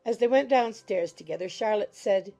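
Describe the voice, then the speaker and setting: Lady narrator, mellow n relaxed w lots of vocal fry, formal measured audiobook read. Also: medium pitch, faint music behind.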